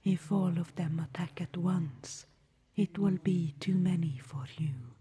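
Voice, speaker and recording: A surly goblin leader blocking a gate, put on by a woman. The whisper drops lower and quieter than the narrator's, slow and breathy. Every answer is short and dismissive. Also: dry recording. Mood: hostile. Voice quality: whispered, curt. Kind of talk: ASMR audio roleplay.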